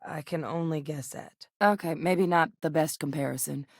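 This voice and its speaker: An actress playing a seasoned, determined woman scientist and soldier in a video game, speaking in an earnest, measured, matter-of-fact way. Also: low voice, dry recording, studio quality. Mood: serious, measured. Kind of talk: video game character dialogue.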